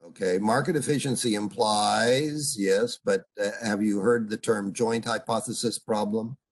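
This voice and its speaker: A man's voice: on the low side, steady tone. Older male professor lecturing on a video call. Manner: halting, full of uhs, asks students questions. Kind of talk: online seminar lecture. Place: home room on a video call. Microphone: video call computer mic.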